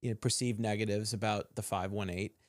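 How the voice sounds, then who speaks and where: A man's voice: on the low side, steady tone, brisk. A man from Los Angeles hosting a casual interview, easygoing and asking open questions. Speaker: podcast host.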